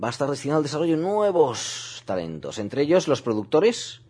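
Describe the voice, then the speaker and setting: A man's voice: a little high, very animated, fairly quick, a little mellow, slightly rough. A man co-hosting a radio film show, chatting and joking with a teasing manner. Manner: jokey, laughs often, teasing. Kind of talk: casual joking radio chat.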